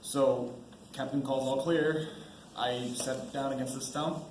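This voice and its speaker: Anxious young army sergeant played by a male student, stammering, stage play, medium pitch, roomy and noisy recording. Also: fairly even intonation, a little mellow, lightly acted. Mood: tense, subdued. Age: teens. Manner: halting, stammering, nervous. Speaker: high school student actor. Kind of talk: amateur stage play acting.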